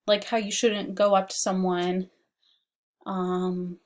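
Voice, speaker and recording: A young woman from Washington DC talks through a class assignment into her laptop webcam at home. She keeps it loose and chatty, leaning on "like" and "um" as she goes, at a middling pitch. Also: flat delivery, slow pace. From Washington DC, United States. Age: young adult. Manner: chatty, frequent "like" and "um" fillers. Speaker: student presenting an assignment. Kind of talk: casual recorded class presentation. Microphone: laptop webcam mic.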